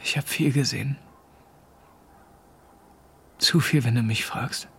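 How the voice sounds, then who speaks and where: Husky, subdued young soldier. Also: male voice, mid-range voice, slow, fairly bright tone, heavily acted. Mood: weary, bitter. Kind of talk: radio drama acting, dialogue and narrated thoughts.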